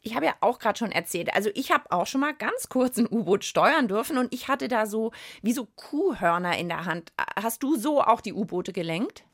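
A woman's voice: fairly high pitch, lively intonation, normal speed, few pauses. A woman co-hosting a children's science show in a conversational, explanatory style. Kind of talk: lively educational co-host chat.

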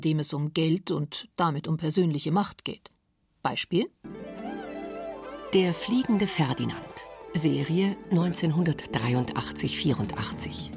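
Low, gravelly woman narrating. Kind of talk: scripted radio documentary narration.

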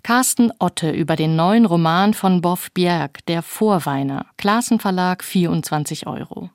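A woman presenting a book programme as radio host, scripted, composed and measured. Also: fairly low pitch, no room sound, studio quality.

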